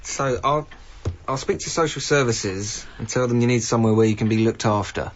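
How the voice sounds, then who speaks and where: An actor playing an earnest, apologetic younger official in a radio drama, hesitant and stammering. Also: male voice, mid-range voice, fairly quick, close to an everyday voice. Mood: defensive, apologetic. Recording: muffled radio drama, faint music.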